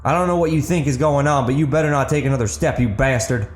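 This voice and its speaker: A man acting out a wary rustic gunman, pitched up. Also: quick pace, roomy sound, faint music behind, close to an everyday voice. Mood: threatening. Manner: folksy rustic speech with ain't and y'all. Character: wary, threatening rural gunman defending his home and hogs.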